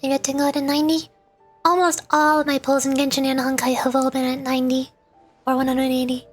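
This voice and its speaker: High-pitched woman streamer chatting over music. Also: completely flat delivery, a little fast. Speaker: livestream host, VTuber. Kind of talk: casual chat to stream viewers while gaming. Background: background music.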